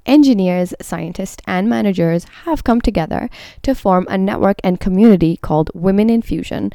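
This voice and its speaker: A woman's voice: medium pitch, normal speed, vocal fry, dark tone, no echo, studio quality. A woman hosting a podcast, reading scripted narration. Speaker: podcast host and interviewer.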